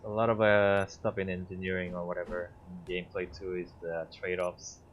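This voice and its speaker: Deep-voiced Korean-native man thinking aloud. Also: little pitch movement, muffled recording, slight background noise. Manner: halting, thinking aloud with many fillers. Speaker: streamer. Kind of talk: casual gameplay commentary.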